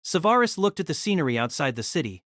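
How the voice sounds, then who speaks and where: A man's voice: fairly high pitch, sing-song, quick pace, a bit bright, no echo, studio quality. A man narrating a fantasy novel as a dramatic, scripted audio drama.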